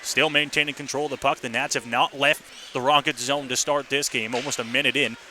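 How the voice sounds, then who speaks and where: A man's voice: mid-range voice, steady tone, brisk, bright, forceful tone. A man calling a live hockey game on the radio as the play-by-play announcer. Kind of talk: live radio sports commentary. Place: ice hockey arena. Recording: live rink broadcast with faint noise.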